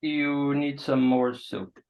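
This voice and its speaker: A man acting a gentle, awkward, solicitous host in a staged play reading, hesitant and coaxing. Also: mid-range voice, level tone, slow, close to an everyday voice. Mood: concerned, coaxing. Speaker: actor. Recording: dull, muffled sound.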